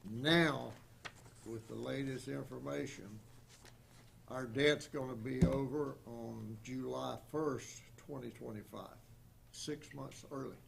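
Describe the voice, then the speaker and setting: A man chairing a town board meeting, speaking in a grave, deliberate way. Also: medium pitch, steady tone, gravelly, a bit bright, a little hiss or hum. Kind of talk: meeting discussion.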